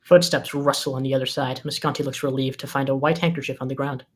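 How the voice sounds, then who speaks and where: A man's voice: medium pitch, fairly even intonation, fast talker. A man reading scripted screenplay directions as the narrator of a table read.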